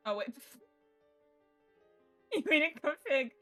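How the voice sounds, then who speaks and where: A woman's voice: high-pitched, sing-song, slow, fairly bright tone, soft background music. A young woman livestreamer chatting casually and informally to her viewers, swearing freely as she goes. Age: young adult. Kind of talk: casual chat to stream viewers while setting up a game. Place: indoors at home computer setup. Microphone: streaming mic with noise gate.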